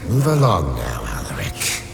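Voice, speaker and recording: An actor playing a stern, imperious undead lich who speaks tersely into a vampire's mind in a dark fantasy radio play. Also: male voice, a bass voice, wildly swooping pitch, a little slow, full character voice. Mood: stern, commanding. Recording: music behind the voice.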